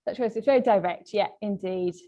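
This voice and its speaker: Mellow-voiced woman presenting a webinar. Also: mid-range voice, a little fast, highs cut off. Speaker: webinar presenter, language trainer. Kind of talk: webinar lesson, semi-scripted presentation.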